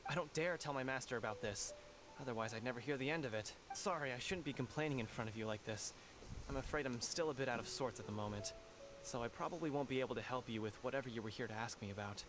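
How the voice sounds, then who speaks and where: An actor playing a flustered, apologetic young martial arts disciple in a video game, rambling and complaining politely. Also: male voice, medium pitch, fast, over music, lightly acted. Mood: dejected, apologetic. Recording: noisy ambience behind game dialogue.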